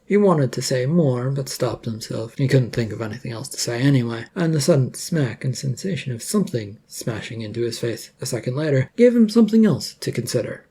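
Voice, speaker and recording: Mellow, gravelly man narrating a story. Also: mid-range voice, animated, fairly quick, few pauses.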